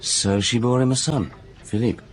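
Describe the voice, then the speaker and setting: An actor playing a loyal, frank police inspector in a radio drama, speaking briefly and plainly. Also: male voice, medium pitch, normal speed, close to an everyday voice, poor audio. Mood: sceptical, frank.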